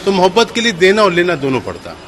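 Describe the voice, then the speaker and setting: Bright, forceful man explaining on location. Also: high-pitched, huge pitch swings, fast, a little hiss or hum. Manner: explanatory, emphatic, mixes in English words. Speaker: mosque committee president. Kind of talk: interview answers.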